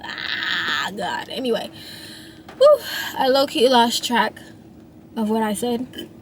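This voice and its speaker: Woman, thirties, slightly high voice, unhurried, rambling reflective vlog talk, restarts often, faint background noise. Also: animated. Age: 30s. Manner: rambling, frequent restarts and fillers. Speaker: singer and songwriter vlogger. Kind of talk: reflective casual monologue.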